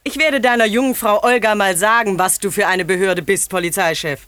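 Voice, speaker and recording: A woman's voice: medium pitch, steady tone, brisk, a bit bright, no room sound, close to an everyday voice. An actress in a 1950s German radio play, playing a bold, teasing young washerwoman with a mocking, familiar way of talking. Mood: defiant. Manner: mocking, familiar, cajoling banter.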